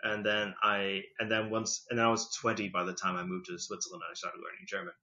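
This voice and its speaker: A man's voice: on the low side, fairly quick. A man in his thirties, a podcast guest telling his story in a casual, chatty way, on a dull lo-fi recording. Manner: chatty, lively, leans on "like" and "you know".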